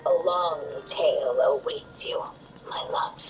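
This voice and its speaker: A tender motherly witch from a fantasy game, speaking slowly and solemnly like a storyteller at a farewell. Music plays behind her, and the sound is a little dull. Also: sits low, reverberant, far from the mic. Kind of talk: dramatic video game voice acting.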